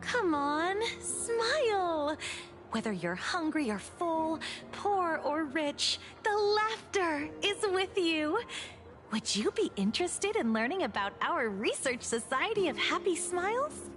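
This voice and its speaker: A woman's voice: very high-pitched, sing-song, takes it slowly, exaggerated voice. A woman playing a pushy, relentlessly cheerful cult recruiter in a video game, with chirpy, theatrical patter over music. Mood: gleeful, coaxing.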